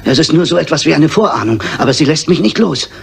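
An actor playing a frightened, pleading suspect, halting and anxious, in a German radio crime drama. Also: male voice, fairly high pitch, expressive, creaky, a little hiss or hum. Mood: fearful, pleading. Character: frightened, pleading Frenchman under suspicion.

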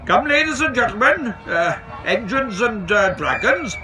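Guy doing a polite choirmaster from a kids book, high singsong voice, bit flustered. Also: very animated, a little slow, recorded from a distance, exaggerated voice. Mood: courteous, flustered. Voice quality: tuneful. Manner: polite, formal, slightly flustered. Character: courteous, genial male Welsh village choirmaster.

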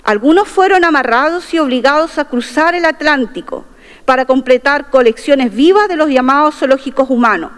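A middle-aged woman reads a formal speech into a desk microphone, her tone earnest and proud. Her voice sits high. It carries a long reverb from the large wood-panelled chamber around her. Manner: earnest and proud, stressing key phrases. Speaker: constitutional convention delegate. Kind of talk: formal opening speech, partly read aloud. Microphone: desk microphone at a podium.

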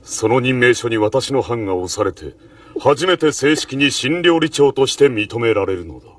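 An actor playing a stern, dignified admiral in an anime, speaking in a formal, measured, commanding way. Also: male voice, medium pitch, animated, recorded from a distance, close to an everyday voice. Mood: stern, composed. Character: stern, dignified male admiral, high official judging chefs. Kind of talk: anime dramatic acting.

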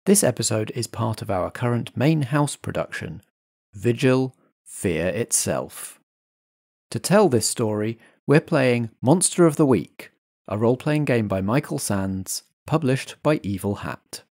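A man compering a roleplay show with a gravelly, husky voice that swoops up and down with theatrical flair. He speaks in a dry, close, scripted way. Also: medium pitch, expressive, unhurried, darkish tone, no room sound. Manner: theatrical, dramatic. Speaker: host and compere. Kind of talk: scripted podcast introduction.

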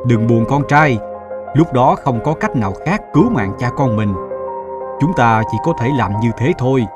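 A man voicing a grave, protective middle-aged merchant father in an animated fairy tale. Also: medium pitch, moderate pace, music in the background, lightly acted. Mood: consoling.

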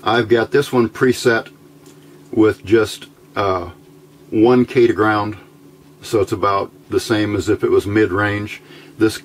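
A man giving an informal hands-on electronics tutorial, thinking aloud in a halting, unscripted way at an indoor workbench. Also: fairly low pitch. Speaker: electronics hobbyist demonstrating a homebuilt circuit. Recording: amateur recording with clear background noise.

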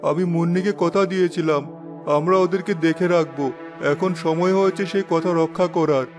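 Guy playing a solemn protective cartoon dad, pretty high male voice, music behind. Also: fairly even intonation, a little fast, natural voice. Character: dutiful, protective father returned as a spirit. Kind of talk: Bengali cartoon voice acting.

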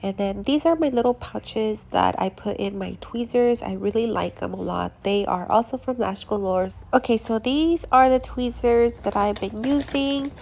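Congested young woman, gravelly and chatty. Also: on the high side, normal speed, hardly any pauses. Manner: chatty, relaxed, with many fillers and restarts. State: congested from allergies. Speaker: lash technician reviewing products. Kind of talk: casual product review vlog.

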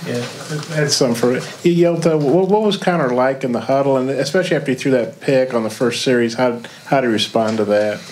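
Male sports reporter, medium-pitched voice. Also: fairly quick, hardly any pauses, a little mellow. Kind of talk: press conference questions. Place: indoor press conference room. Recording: noisy press room.

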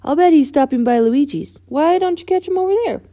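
A woman's voice: sits high, lively intonation, fairly quick, warm tone, pitched above the performer's own voice. A woman voicing an earnest, skeptical but caring male car in a children's storybook, plain-spoken. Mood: doubtful, improvising. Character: skeptical, caring young male cartoon race car friend.

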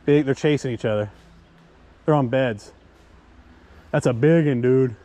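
A man chatting casually and excitably in slang as he hosts a fishing vlog outdoors on an action camera. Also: medium pitch, unhurried. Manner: excitable, slangy, says dude and man often. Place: outdoors at a wooded creek. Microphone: action camera worn or held by him. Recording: outdoor recording with background noise.

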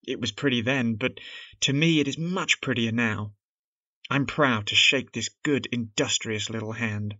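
A man playing a gentle, loving father praising his daughters after returning home, in an audio drama. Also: medium pitch, dry recording, muffled recording. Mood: tender. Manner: warm, measured praise. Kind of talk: radio drama acting.

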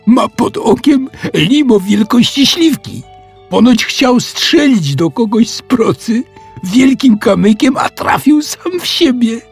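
A man voicing an excitable, proud schoolboy in a children's audio story, gushing and boastful. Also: very high voice, slow, slightly rough, faint music behind, pitched above the performer's own voice, heavily acted. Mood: gleeful.

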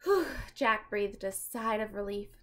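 A young adult woman reading a children's book aloud in an expressive, animated manner, talking directly to her young listeners. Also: mid-range voice, measured pace. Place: small furnished room at home.

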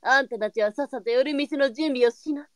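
A woman playing a shrewd, sharp-tongued, brisk brothel madam in a period audio drama. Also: high voice, dry recording, studio quality, lightly acted. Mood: brusque, exasperated. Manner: blunt, switches to formal politeness with guests.

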